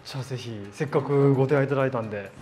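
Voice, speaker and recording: A man's voice: mid-range voice, racing, background noise. A man with a Japanese accent chatting casually and enthusiastically as a vlog host in an indoor dojo. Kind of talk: casual bilingual chat. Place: indoor martial arts dojo. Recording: loud music over the talk. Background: music.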